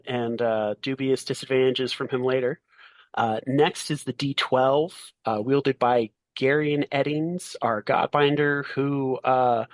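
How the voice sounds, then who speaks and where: A man's voice: mid-range voice, no echo. A man running a tabletop game as game master, narrating in a casual, playful, conversational way.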